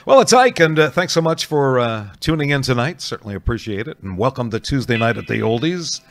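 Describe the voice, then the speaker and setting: A man's voice: medium pitch, gravelly. Chatty male oldies radio DJ. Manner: chatty, lively, folksy, frequent "uh" pauses. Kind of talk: casual oldies radio patter. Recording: music playing under the voice.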